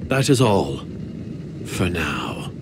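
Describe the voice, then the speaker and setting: An actor playing a cold, wary secret agent commander in a video game, with a measured, stern and threatening delivery. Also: male voice, sits low, sing-song, heavy background noise, heavily acted. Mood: guarded warning.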